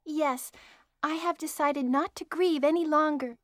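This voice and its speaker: Young female rookie medic, old game voice acting, stilted, high voice, dry studio sound. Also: steady tone, a little slow, dry recording. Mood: stiff, polite. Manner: stiff, stilted line reading. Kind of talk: 1990s video game dub voice acting.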